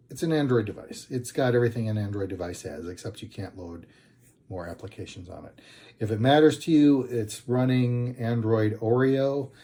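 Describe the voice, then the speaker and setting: A man's voice: on the low side, mellow, slight background noise. A man giving a casual, unscripted product review in a plain-spoken, hesitant and rambling way.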